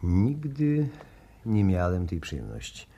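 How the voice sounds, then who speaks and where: An actor playing a formal, guarded embassy diplomat, over-polite and unruffled, in a 1960s-style Polish radio drama. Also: male voice, deep voice, huge pitch swings, slow pace, dark tone, full character voice. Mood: guarded denial.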